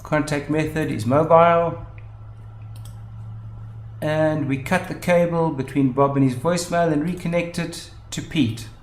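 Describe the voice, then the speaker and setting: A man presenting a software tutorial, explaining methodically step by step. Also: on the high side, fairly even intonation, measured pace. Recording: roomy, echoing voice-over.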